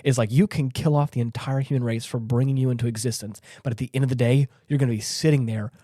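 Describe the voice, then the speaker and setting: Mellow man chatting casually. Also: mid-range voice, fairly even intonation, quick pace, dry recording, clean studio sound. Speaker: podcast co-host. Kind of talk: casual conversational discussion.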